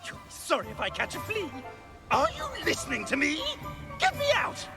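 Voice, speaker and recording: An actor playing a pompous, snooty talking portrait in a video game, haughty, fussy and theatrically indignant. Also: male voice, very high-pitched, huge pitch swings, moderate pace, vocal fry, in a large hall, loud music behind the voice, full character voice. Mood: outraged, panicked. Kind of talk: video game character acting.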